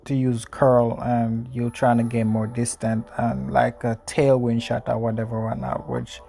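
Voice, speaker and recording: A man's voice: mid-range voice, little pitch movement, vocal fry, mellow, a little hiss or hum. A man originally from Jamaica, talking casually through gameplay tips with frequent ums. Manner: explains slowly with many ums. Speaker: gamer giving tips.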